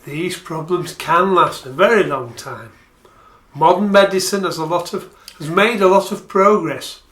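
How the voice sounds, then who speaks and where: A man acting in a radio play as a sober, matter-of-fact character with a measured delivery. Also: high voice, fairly bright tone. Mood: reassuring. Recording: echoey room.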